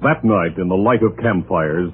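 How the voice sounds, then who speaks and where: A man's voice: sits low, moderate pace. A man narrating a scripted adventure drama in a dramatic 1930s radio style. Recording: tinny old radio recording with music.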